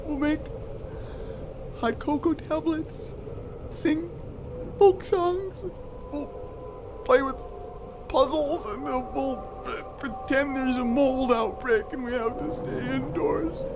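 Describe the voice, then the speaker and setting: A badly hurt, devoted young man, speaks in a high, strained register. He is slow and halting, weak and close to tears as the cold takes him. Loud ambient noise surrounds the voice. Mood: frantic, tearful reassurance. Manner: halting, broken phrases, tearful. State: weak, gasping, crying. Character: badly injured young man, devoted, desperate, freezing to death. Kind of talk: audio drama acting. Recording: noisy, loud wind-like ambience behind.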